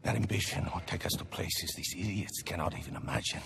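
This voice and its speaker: An actor playing a middle-aged, menacing crime boss with a Latin American Spanish accent, gravelly, measured and quietly commanding, in a game cutscene. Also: male voice, deep voice, expressive, a little hiss or hum, full character voice. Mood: philosophical, smooth menace. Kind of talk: dramatic video game cutscene acting.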